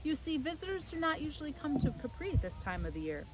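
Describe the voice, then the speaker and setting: A woman's voice: high-pitched, big pitch swings, fast talker, a little hiss or hum, voice pushed up. A woman voicing a modest, courteous Italian innkeeper, a hesitant and apologetic man in a storybook. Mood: flustered.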